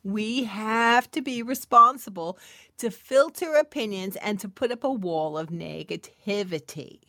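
Casting: middle-aged female inspirational speaker, unhurried delivery, emphatic testimonial style with intimate asides, dry close sound. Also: mid-range voice, lively intonation, bright, forceful tone, no room sound. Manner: emphatic and testimonial, repeating phrases for weight; intimate, chuckling asides to the listener. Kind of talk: devotional talk addressed to listeners.

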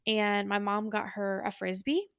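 A woman's voice: mid-range voice, measured pace, vocal fry, a bit bright, smooth, dry recording, studio quality. A woman podcast host in a casual, chatty catch-up, talking in a gushing, affectionate way.

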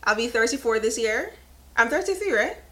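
A woman's voice: on the high side, lively intonation, brisk, pushed and bright. A woman in her thirties hosting a casual live podcast, chatting frankly and laughing often. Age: 30s. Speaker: podcast host. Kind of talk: casual live-stream chat.

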